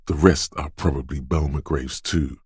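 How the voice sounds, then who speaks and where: An actor playing the coolly urging inner voice of a detective's reflexes in a video game, narrating to the listener in a deliberate, intimate, theatrical way. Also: male voice, steady tone, darkish tone, no echo, clean studio sound, exaggerated voice. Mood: matter-of-fact. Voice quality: very deep, smooth, resonant. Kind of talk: dramatic second-person game narration.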